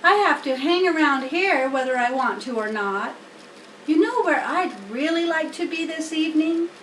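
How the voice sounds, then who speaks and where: A woman's voice: sits high, expressive, normal speed, a bit bright, pitched above the performer's own voice. A woman voicing a humble, naive young male pig from a children's storybook, earnest and dreamy. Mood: longing. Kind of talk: children's storybook character reading. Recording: roomy, distant mic, faint noise.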